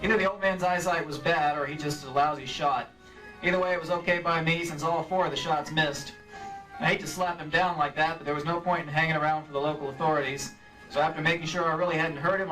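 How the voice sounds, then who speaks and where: A man playing a brisk, dogged insurance investigator with clipped, wry delivery in a live old-time radio drama, slightly distant from the mic. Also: on the high side, lightly acted. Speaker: lead actor and narrator. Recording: live stage recording, off mic.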